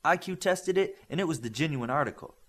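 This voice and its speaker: Man reading as wry young superheroine, animated. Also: a little high, fairly quick, voice pushed up. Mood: matter-of-fact.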